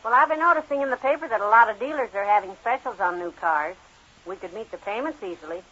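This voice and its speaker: Bright, exasperated old-time radio wife. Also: female voice, a little high, fast. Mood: worried, nagging. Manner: dryly sarcastic, long-suffering. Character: practical, exasperated wife with a dry, teasing wit. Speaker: comic partner in a radio sitcom. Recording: dull vintage radio recording.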